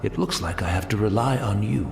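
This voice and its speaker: An actor voicing a frail, blind old tea brewer in a video game, wistful and humble, rambling in flowery, courteous speech. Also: male voice, lowish voice, fairly quick, gravelly, echoey room, over music. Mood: resigned. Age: older.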